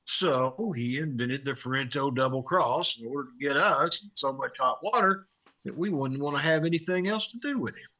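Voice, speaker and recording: An actor playing a wry, scrappy, wisecracking newspaper reporter in a 1950s-style radio drama. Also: male voice, mid-range voice, normal speed, pushed and bright, natural voice. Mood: wry, speculating. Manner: wisecracking, colloquial.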